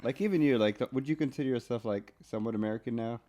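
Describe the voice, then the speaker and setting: A man's voice: medium pitch, very fast, mellow. A young man co-hosting a casual podcast chat, easygoing and laid-back, often trailing off mid-sentence. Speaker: podcast co-host.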